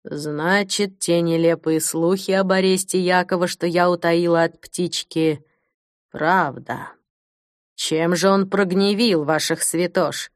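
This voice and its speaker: A woman playing a wise, grave old foster mother in an audiobook, speaking in a measured, solemn way. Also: on the low side, fairly even intonation, no room sound, studio quality. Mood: troubled. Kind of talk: audiobook character acting.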